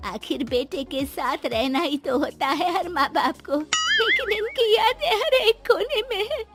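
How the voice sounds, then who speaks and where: एक महिला हिंदी कहानी में बूढ़ी, विधवा, ममता भरी और भावुक माँ का किरदार निभा रही है, रुआँसे और मनुहार भरे लहजे में।. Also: female voice, extremely high pitch, lively intonation, measured pace, over loud music, voice pushed up, full character voice. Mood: anxious, pleading. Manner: tearful, pleading, tender. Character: elderly widowed mother, doting, emotional, anxious to please. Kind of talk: Hindi moral story dramatisation.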